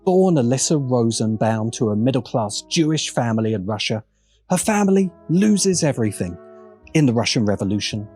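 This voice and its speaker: A man's voice: medium pitch, big pitch swings, moderate pace, a little mellow. A man narrating a scripted video essay with wry, dramatic emphasis. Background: background music.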